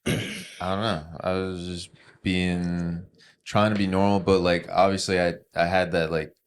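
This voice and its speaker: A young man co-hosting a podcast, talking softly and hesitantly with lots of fillers. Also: deep voice, little pitch movement, unhurried, smooth. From Argentinian and Ecuadorian heritage. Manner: soft-spoken, hesitant, many fillers. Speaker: podcast co-host. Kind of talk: casual chat.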